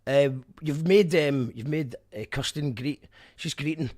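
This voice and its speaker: A man's voice: on the high side, measured pace, a little mellow. A man hosting a radio interview, chatty and reading out listener messages. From Scotland. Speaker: radio host. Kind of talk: casual interview chat.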